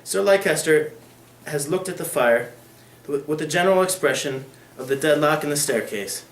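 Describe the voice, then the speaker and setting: A man's voice: a little high, expressive, normal speed, a bit bright, far from the mic. A teenage boy giving an earnest dramatic reading of a classic novel, with occasional slips. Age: late teens. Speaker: student reader. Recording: echoey room.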